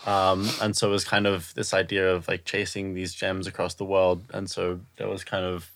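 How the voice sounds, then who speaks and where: Brief: man, low and flat, stop-start casual delivery. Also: no echo. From Australia. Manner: halting, repeats words as he restarts. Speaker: illustrator and product designer, guest. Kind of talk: casual interview conversation.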